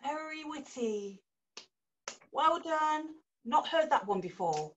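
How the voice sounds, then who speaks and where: A woman's voice: high voice, big pitch swings, a bit bright, far from the mic, muffled recording, slight background noise, exaggerated voice. A woman acting a needy, defensive sister who is keen to make up, in a naturalistic drama. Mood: stung. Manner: pleading, defensive, quick to retort. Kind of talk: naturalistic stage drama dialogue.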